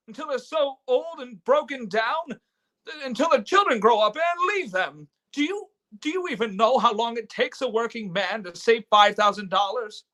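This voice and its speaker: Casting: male actor as stammering, earnest young hero of a radio play; high, bright, very animated voice. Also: full character voice. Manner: stammering, impassioned. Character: earnest, indignant, idealistic young man defending his father. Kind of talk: live radio play reading. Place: remote online reading from home.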